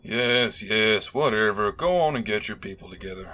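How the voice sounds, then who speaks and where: A man's voice: on the low side, lively intonation, moderate pace, pushed and bright. A man voicing a blunt, foul-mouthed, exasperated male soldier in an audiobook, with a growling, grumbling delivery. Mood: dismissive. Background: faint music.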